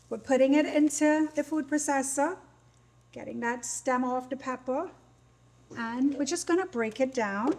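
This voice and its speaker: A woman with a Trinidadian accent and a fairly high voice talks through a cooking demonstration. She explains things step by step in an informal, conversational way, as the cook showing her own method. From Trinidad and Tobago. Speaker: cooking demonstrator, small catering business owner.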